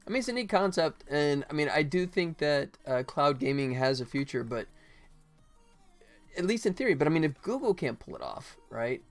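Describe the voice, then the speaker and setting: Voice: medium pitch, sing-song, moderate pace. Casual, rambling livestreamer with music behind. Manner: rambling, jokey, acts out mock quotes. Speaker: livestream host, game developer. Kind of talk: casual livestream chat while working.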